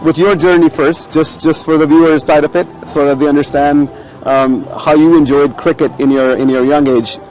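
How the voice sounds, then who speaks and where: A man from Pakistan hosting a casual cricket chat, halting with frequent fillers and restarts. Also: fairly high pitch, fairly even intonation, a little mellow, faint music behind, poor audio. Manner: hesitant, many fillers and restarts. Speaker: sports show host.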